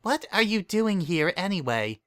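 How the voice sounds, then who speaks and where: A man's voice: sits high, sing-song, a little slow, a bit bright, clear, resonant tone, pitched above the performer's own voice, full character voice. A man voicing a protective, no-nonsense mare shopkeeper in a pony story, with a scolding, sceptical manner. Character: protective, no-nonsense yellow mare minding candy shop.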